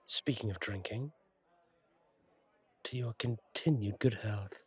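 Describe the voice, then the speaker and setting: A man playing a kindly, easygoing fallen angel bartender in an intimate audio roleplay, talking in a husky, wry, conversational way with unhurried pauses. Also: on the low side, darkish tone, no echo, heavily acted. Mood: friendly, generous.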